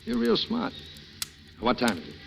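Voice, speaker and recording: A man playing a smooth, coaxing schemer who talks down to a young woman, in a 1940s radio drama. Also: medium pitch, wildly swooping pitch, moderate pace, a bit bright, slight background noise, full character voice. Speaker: lead actor. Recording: dull lo-fi 1940s radio broadcast.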